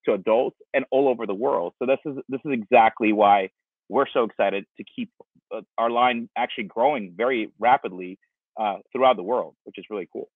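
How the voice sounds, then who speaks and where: A man's voice: fairly low pitch, brisk, dry recording. A man in his forties, born in Russia, answering interview questions in a rambling way full of restarts, speaking over a video call from an outdoor patio. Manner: rambling, with many restarts and fillers. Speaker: company founder, guest. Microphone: video call, wireless earbuds. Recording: dry, thin phone-like video call audio.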